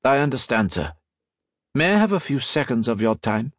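A man's voice: medium pitch, sing-song, normal speed, dry recording, clean studio sound, voice pushed up. A man reading as a young male spy in disguise, formal and deferential.

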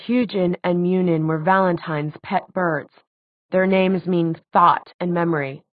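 A woman voicing a gentle, weary man in an audiobook, speaking in a quiet, measured, apologetic way. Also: fairly low pitch, fairly even intonation, no room sound, phone-quality sound, close to an everyday voice. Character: gentle, weary adult man, guardian figure.

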